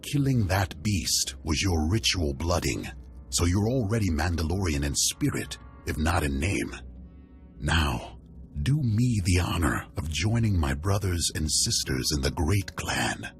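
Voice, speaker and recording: An actor playing a proud, hearty older warrior chieftain in a video game, speaking in a stately, commanding way. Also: male voice, deep voice, big pitch swings, unhurried, faint music behind, heavily acted. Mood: earnest. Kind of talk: video game cutscene acting.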